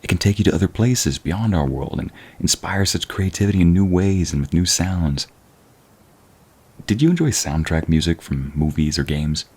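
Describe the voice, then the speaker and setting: A man acts out a bashful young concert musician for an ASMR romance. The voice is very low and swings widely in pitch. He is courteous and smitten, with a formal, halting politeness. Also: expressive, darkish tone, slightly rough. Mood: warm, earnest. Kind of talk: ASMR romantic roleplay audio drama.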